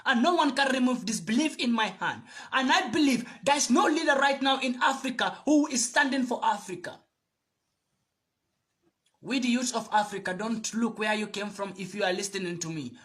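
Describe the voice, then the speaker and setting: Fiery high-voiced man. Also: sharp tone. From Gambia. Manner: fiery, preachy, repeats phrases for emphasis. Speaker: video commentator. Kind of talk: impassioned address to camera.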